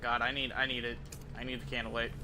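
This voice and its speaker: A man's voice: medium pitch, monotone, fast talker, noisy background. A man giving casual, profane, slangy gameplay commentary as a game streamer. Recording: game music behind the voice. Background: video game music and sound effects.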